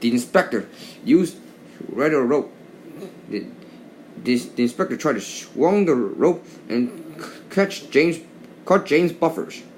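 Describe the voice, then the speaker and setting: A man reads a children's story aloud in a highly animated voice. He stumbles over words and repeats them as he goes, and there is noticeable noise in the background. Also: slow, long pauses. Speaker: storybook narrator. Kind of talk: children's read-along narration.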